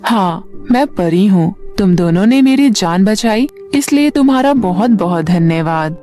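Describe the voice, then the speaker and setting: A woman voicing a grateful, generous fairy with a gentle, gracious manner in a storybook tale. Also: mid-range voice, lively intonation, unhurried, natural voice. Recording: music bed under the voice.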